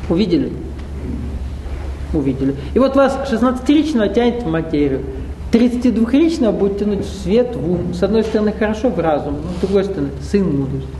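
A man's voice: high-pitched, mellow, slight background noise. A man leading a spiritual seminar, lecturing a live audience in a conversational way with rhetorical questions and wry asides. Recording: roomy hall echo.

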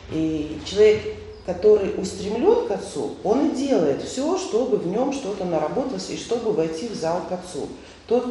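A man's voice: sits high, warm tone. A man leading a spiritual seminar, lecturing informally with fillers and rhetorical questions put to the audience. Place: large indoor hall. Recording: echoey hall, voice from far off, faint noise.

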